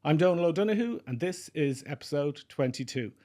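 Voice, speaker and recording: A man's voice: on the high side, animated, brisk, darkish tone. A man, a recruiter hosting an interview podcast, asking curious questions of his guest. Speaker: podcast host.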